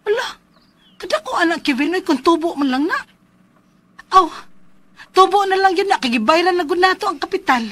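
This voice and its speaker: A woman's voice: very high-pitched, lively intonation, normal speed, pauses often, pushed and bright, heavily acted. A Filipino actress with a Cebuano accent playing an earnest, troubled young woman in a radio drama, pleading over her partner's debt. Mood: alarmed. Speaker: drama lead and letter narrator.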